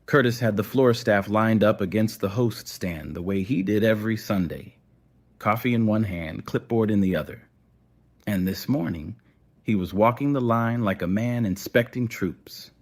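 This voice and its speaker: A man's voice: fairly low pitch, moderate pace, a little mellow. A man narrating a scripted audio story.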